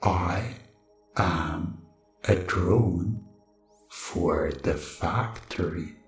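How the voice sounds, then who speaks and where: A man's voice: low-pitched, drawn-out words. A man voicing a cold factory system in a hypnosis role-play, issuing clipped, impersonal phrases. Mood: blank, obedient. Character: cold factory machine programming drones, robotic system announcer. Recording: very echoey voice over music.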